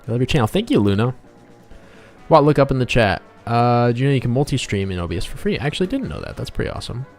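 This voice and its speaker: A man's voice: medium pitch, very animated, fast talker, creaky, darkish tone, soft background music. A man hosting a casual game developer livestream, rambling playfully, talking to himself and to viewers as he works. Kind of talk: casual livestream chat while drawing, reading out viewer comments.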